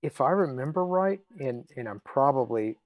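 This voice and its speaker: A man hosting a casual interview chat, speaking haltingly with trailing pauses. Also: mid-range voice, animated, no echo, narrow, tinny audio. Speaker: interviewer, host.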